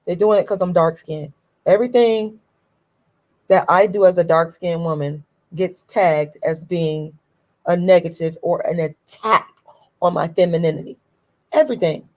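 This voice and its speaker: A woman with a hoarse, deep voice, talking bluntly and emphatically. She repeats her key points in a casual opinion monologue, and her voice sits fairly low. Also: moderate pace, a little mellow. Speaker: YouTube commentator.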